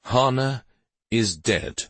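A man performs a young warrior of rank in a fantasy audiobook. He is dutiful but weary, earnest with a sour streak. The voice is a little husky and expressive, recorded close and dry. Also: medium pitch, drawn-out words, no echo, pitched above the performer's own voice, exaggerated voice. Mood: grave. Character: brooding young male war master, weary and dutiful.

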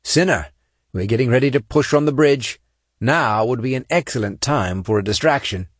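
A man's voice: mid-range voice, animated, normal speed, no echo, pitched above the performer's own voice, natural voice. A commanding military sergeant, acted out by a male narrator for a sci-fi audiobook. He speaks in clipped, firm orders. The sound is dry and close. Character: gruff commanding male marine gunnery sergeant.

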